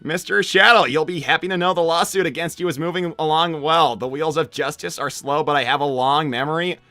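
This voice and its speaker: A woman voicing a laid-back but excitable young male cartoon animal, hesitant and slangy in casual improvised chat. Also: low voice, brisk, dry recording, faint music behind. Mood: puzzled. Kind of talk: improvised in-character livestream chat.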